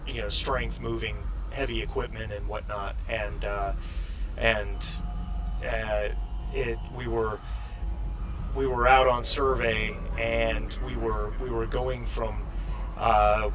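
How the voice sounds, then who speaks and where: Man acting a stammering, shaken spaceship survivor. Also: mid-range voice. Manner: halting, stammering, repeats himself. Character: shaken male ship survivor recounting a killing. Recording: noisy room, distant mic.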